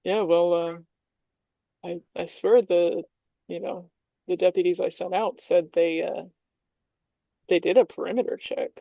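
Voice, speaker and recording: A woman playing a baffled local police chief in a tabletop game, halting and plain-spoken. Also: on the low side, steady tone, vocal fry, mellow, no room sound. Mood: defensive.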